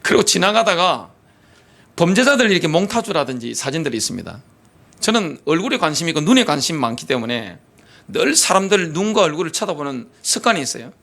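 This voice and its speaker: A middle-aged male pastor delivering an impassioned sermon, firing off rhetorical questions, then dropping to quiet asides. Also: a little high, very animated, fast talker, vocal fry. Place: church sanctuary.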